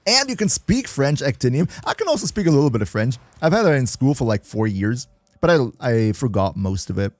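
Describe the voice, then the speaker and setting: A man's voice: medium pitch, very animated, brisk, no room sound. German man chatting on a gaming stream. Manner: chatty, reads and answers chat, laughs often. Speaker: livestream host. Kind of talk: casual gaming livestream chat.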